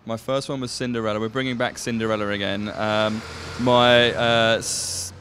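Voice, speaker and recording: A man answering casually in an interview as a writer and producer, haltingly searching for his words. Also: fairly low pitch, level tone, fairly bright tone, slight background noise.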